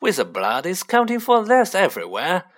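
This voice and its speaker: Man acting oily male shopkeeper, raised pitch, swooping intonation, servile, sly, eager to please, storybook villain's lackey. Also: huge pitch swings, measured pace, fairly bright tone, exaggerated voice. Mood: fawning. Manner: obsequious, eager to please, repeatedly deferential.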